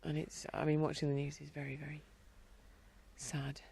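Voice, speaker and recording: A rambling, chatty woman talking outdoors in her garden, her voice carrying a gravelly fry. She sounds a little blocked up in the nose and drifts into quieter stretches as she wanders through her thoughts. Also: low-pitched, monotone, moderate pace, slight background noise. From England. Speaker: podcast host. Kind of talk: casual chat.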